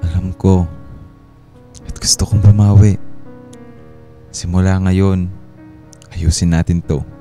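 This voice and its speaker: An actor playing a repentant, loving husband and father, gentle and earnest, in a Filipino radio drama. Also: deep voice, level tone, takes it slowly, pauses often. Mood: contrite, earnest. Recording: guitar music bed under the voice.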